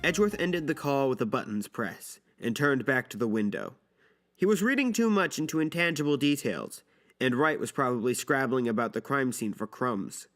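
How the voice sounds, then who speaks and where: A man's voice: medium pitch, lively intonation, moderate pace, a bit bright. Casting: male narrator for audio drama, mid-range voice, dramatic scripted storytelling over music. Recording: background music under the voice.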